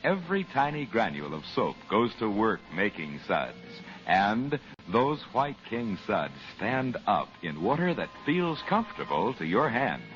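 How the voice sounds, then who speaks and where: A low-voiced American man working as a 1940s radio announcer. His delivery is theatrical and deliberate, with wide swings in pitch. The old broadcast sounds dull, with faint music behind. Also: sing-song, a bit bright.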